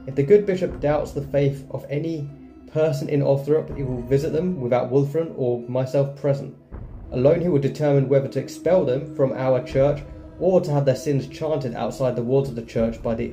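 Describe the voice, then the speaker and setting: Very mellow male gamer in echoey room. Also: mid-range voice, normal speed. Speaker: gaming video host. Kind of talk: reading game text aloud with casual commentary. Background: faint game music.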